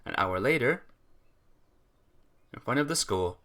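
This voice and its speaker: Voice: medium pitch, expressive, a little slow, a bit bright. A gamer reading a visual novel aloud as narration, now and then tripping over words and correcting the slip. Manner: stumbles over words and corrects himself or herself. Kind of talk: game playthrough narration, reading aloud with character voices.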